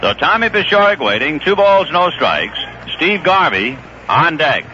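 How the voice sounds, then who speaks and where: A male play-by-play announcer calls a baseball game on a vintage 1970s radio broadcast. His voice sits a little high and moves a lot, brisk and climbing on the big plays. The sound is muffled and lo-fi, with a thick layer of hiss underneath. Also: very animated, sharp tone. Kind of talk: live baseball radio commentary. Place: ballpark broadcast booth.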